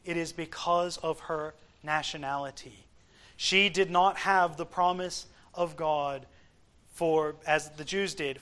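A man preaching an expository sermon, explaining scripture in deliberate, emphatic short phrases. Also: on the high side, fairly bright tone.

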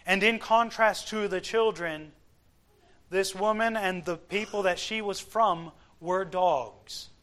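A man preaching an expository sermon, explaining scripture in deliberate, emphatic short phrases. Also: high-pitched, fairly bright tone.

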